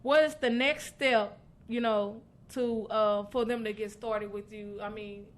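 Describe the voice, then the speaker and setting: A woman's voice: on the high side, fairly even intonation, measured pace, slight background noise. A woman hosting a radio show, interviewing a guest and cheering her on with constant affirmations. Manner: encouraging, constant uh-huhs and amens. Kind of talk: interview chat.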